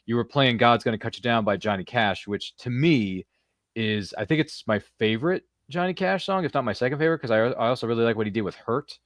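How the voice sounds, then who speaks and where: A man's voice: mid-range voice, lively intonation, quick pace, fairly bright tone, no echo, clean studio sound. A man hosting a live podcast in a casual, slangy, jokey way. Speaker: podcast host. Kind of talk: casual live show chat.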